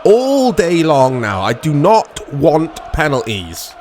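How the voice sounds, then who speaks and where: A man giving casual commentary on a football video game in short reactive fragments, his voice strained and a little hoarse. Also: fairly high pitch, huge pitch swings, slow pace, a little mellow, a faint crowd, slight background noise. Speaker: gaming channel host.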